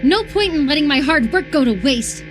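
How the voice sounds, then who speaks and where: A woman playing an obsessive, sweetly menacing young female captor in a dramatic horror visual novel, coaxing with a sharp edge. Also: high-pitched, expressive, moderate pace, over music. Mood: coaxing, irritated.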